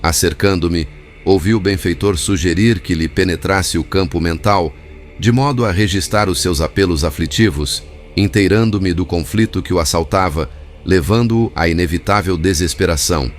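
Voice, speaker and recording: A man's voice: deep voice, normal speed, soft background music. A man reading a spiritual book as a formal, literary scripted narration. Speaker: audiobook narrator.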